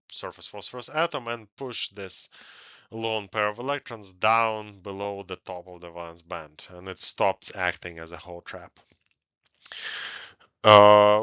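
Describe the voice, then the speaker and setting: A middle-aged man presenting technical research as an academic, speaking haltingly with frequent pauses into a headset. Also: lowish voice, sharp tone, clear, resonant tone, no echo. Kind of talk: technical conference lecture over slides. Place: indoors, recorded online presentation. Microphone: headset on a video call. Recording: dull, band-limited headset audio.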